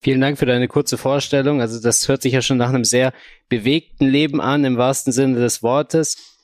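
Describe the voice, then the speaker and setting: A man co-hosts a podcast in an earnest, formal manner. His voice sits at a middle pitch. It is recorded close and dry, with no room sound. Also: fairly even intonation, moderate pace, a little mellow, dry recording. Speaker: podcast co-host. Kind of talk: semi-scripted podcast hosting.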